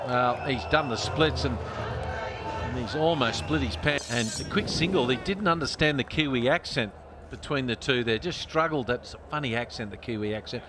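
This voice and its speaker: A man's voice: medium pitch, normal speed, noisy background. A man giving live cricket commentary in short analytical remarks. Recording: loud music behind. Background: loud music.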